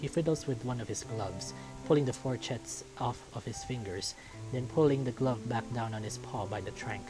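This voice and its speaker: A man's voice: medium pitch, moderate pace, over music, poor audio. A man reading a visual novel aloud as storytelling narration, now and then stumbling over a word.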